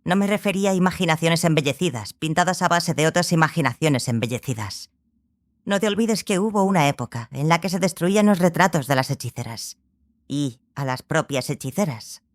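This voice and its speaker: An actress playing a serious, scholarly sorceress in an audiobook drama, speaking in a firm, measured, didactic way. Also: female voice, low-pitched, lively intonation, no echo, studio quality. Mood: corrective, grave.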